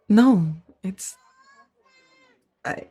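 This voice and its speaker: Husky, soft-spoken woman acting a gentle Jedi. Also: medium pitch, exaggerated voice. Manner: hesitant, halting, breathy murmur with sighs. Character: gentle, earnest young female Jedi, tenderly conflicted. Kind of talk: soft-spoken ASMR roleplay audio drama.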